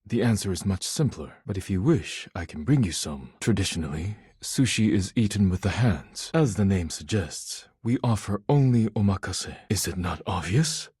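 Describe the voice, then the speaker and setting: Proud game character, very low husky male voice, formal, curt, solemn deadpan, easily offended, dry close recording. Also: normal speed, few pauses, no echo, full character voice. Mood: stern, formal. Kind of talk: scripted video game character acting.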